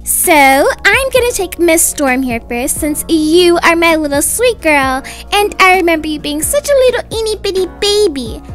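A young woman acting out a children's roleplay story in a gentle, reassuring, sing-song way. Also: very high voice, sing-song, moderate pace, hardly any pauses, faint music behind. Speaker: video creator voicing characters.

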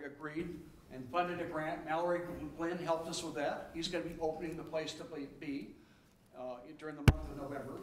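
An older man standing at a council-chamber podium, enthusiastically presenting a community program. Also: on the high side. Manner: enthusiastic, promotional, brisk bursts. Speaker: citizen presenter. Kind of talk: public comment at a council meeting. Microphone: stand mic at a distance. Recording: echoey chamber, distant mic, faint hiss.

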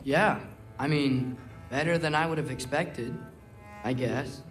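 Man acting as teenage boy adventurer, awkward and earnest, curious, hesitant casual speech, game cutscene, clear music behind. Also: medium pitch, measured pace, long reverb tail, close to an everyday voice.

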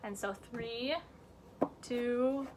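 A woman's voice: fairly high pitch, extremely slow, heavy background noise. A teenage girl hosting a casual home vlog, chatty and joking, recorded on a computer camera mic in a room at home. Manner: chatty, joking, self-mocking asides; drops to hushed whispers while showing things up close. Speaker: vlog host. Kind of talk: casual chat. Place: indoor home room with shelves.

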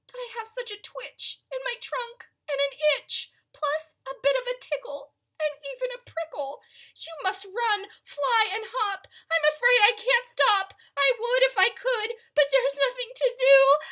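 Woman acting out an anxious, high-pitched elephant. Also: sharp tone. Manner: dramatic, emotional, exclamatory. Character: big, anxious, sorrowful, easily frightened male elephant.

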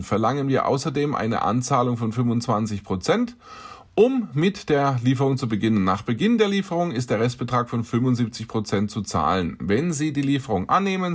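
A middle-aged man with a middle-pitched voice narrates his encounters with scammers in a casual, dry way. He reads out messages and comments on them with a wry edge, as a car dealer talking to his viewers. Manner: dry, wry storytelling about scam messages. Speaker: car dealer and channel host.

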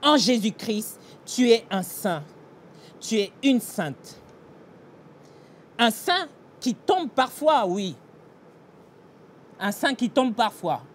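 A man's voice: high-pitched, slight background noise. A middle-aged man pastor giving an earnest devotional meditation and prayer, speaking straight to the listener in a small indoor room. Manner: earnest, direct address to the listener, emphatic gestures. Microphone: facing a fixed camera, mid-shot.

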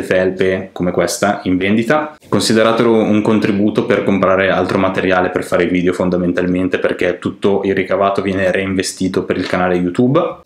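A man giving a casual, chatty hands-on tutorial as a vlog host at home. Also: low voice. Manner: colloquial, chatty, explanatory. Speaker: motovlogger and channel host. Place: indoors, living room at home. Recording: echoey home room.

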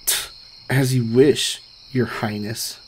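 A man voicing a sardonic, self-deprecating pony stallion on the run in an animated comic dub, with wry, theatrical asides. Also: medium pitch, slow, slight background noise, natural voice. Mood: insistent.

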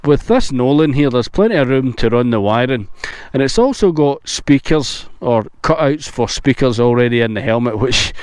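A man's voice: mid-range voice, expressive, moderate pace, clean tone, no echo. A middle-aged man giving a casual motorcycle product review, with road and outdoor noise behind him.

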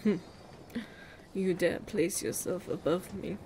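A woman's voice: on the low side, slow pace, creaky, warm tone, a little hiss or hum. A woman playing a regal, commanding empress of monsters in a fantasy audio roleplay, speaking in a stately, formal manner. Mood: stately, grave.

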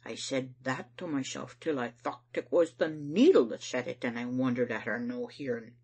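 A woman's voice: extremely low pitch, steady tone, normal speed, darkish tone, breathy edge, full character voice. A woman voicing a proud, stubborn male church elder, stern and guilt-ridden, telling his tale emphatically in broad Scottish dialect. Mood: regretful.

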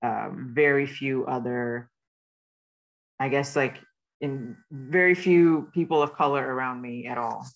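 A young American woman, a guest in a casual video-call discussion, speaking calmly and in a measured way. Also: a bass voice, vocal fry, lo-fi sound. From United States. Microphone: webcam on a video call.

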